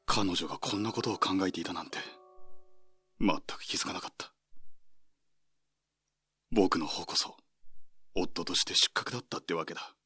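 A man acts a humble husband who blames himself, in a radio drama. His voice is deep and subdued, with clear music playing behind the words. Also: normal speed, long pauses, pushed and bright, full character voice. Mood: self-reproach. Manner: subdued, reflective. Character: humble, self-reproaching husband. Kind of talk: radio drama dialogue and monologue.

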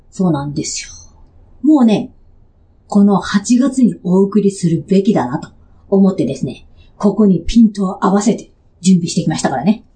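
A woman's voice: medium pitch, dark tone. A woman hosting a radio show in a chatty, playful and giggly way.

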